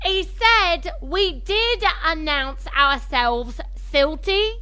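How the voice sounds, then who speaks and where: A woman's voice: extremely high pitch, expressive, extremely slow, pushed and bright, heavily acted. An actress playing a chirpy female mole in an audio drama. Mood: insistent.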